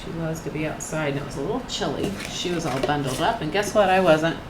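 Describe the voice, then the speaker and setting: An older woman with a slightly croaky voice, talking casually and softly while she paints, thinking aloud with trailing restarts and sharing a faith reflection. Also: low voice, quick pace. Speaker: painting channel host. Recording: echoey room with air-conditioner hum.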